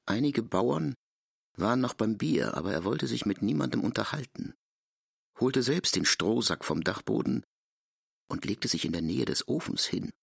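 A man's voice: lowish voice, animated, normal speed, gravelly, slightly rough, no echo, clean studio sound. A man narrating scripted literary prose in a radio drama. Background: music.

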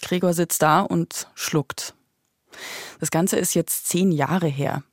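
A woman's voice: on the low side, fairly even intonation, takes it slowly, no room sound, studio quality. A woman in her thirties hosting a podcast with conversational narration. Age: 30s. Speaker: podcast host.

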